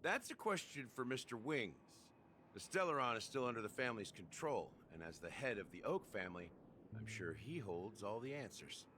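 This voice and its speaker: A man's voice: lowish voice, sing-song, moderate pace, fairly bright tone, a little hiss or hum. A man playing a shrewd, self-deprecating, coolly confident rogue in a video game, talking in a wry, teasing way. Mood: cool, pressing. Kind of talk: scripted video game voice acting.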